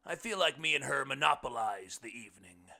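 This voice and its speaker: An easygoing blind houseguest, played by a male reader, speaks high and loud in a very bright, forceful voice. He is folksy, curious and emphatic, a genial storybook character. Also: expressive, fairly quick, gravelly, full character voice. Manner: emphatic, folksy, calls listener bub. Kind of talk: audiobook character voice.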